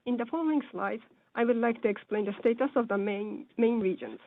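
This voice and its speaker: A woman giving a formal, scripted financial presentation in a measured, businesslike way over a conference call. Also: on the high side, dry recording. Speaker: presenter on an earnings call, speaking as or interpreting for a company executive. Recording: phone-like narrow-band conference call line.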